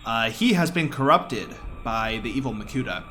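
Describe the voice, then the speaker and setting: A man's voice: mid-range voice, expressive, moderate pace, pushed and bright, slight background noise. A man giving casual live commentary as a speedrunner, explaining informally with wry asides.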